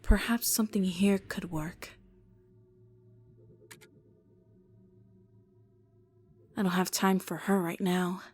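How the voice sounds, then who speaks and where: A woman's voice: lowish voice, steady tone, moderate pace, a little mellow, slightly rough. A woman playing a weary, wry female doctor in an amateur game dub, muttering to herself in exasperation.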